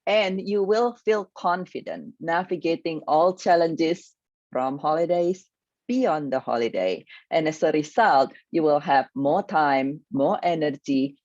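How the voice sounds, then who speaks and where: A woman's voice: deep voice, darkish tone, no echo, highs cut off. A woman with an Indonesian accent, a grief coach giving an earnest, motivational online workshop.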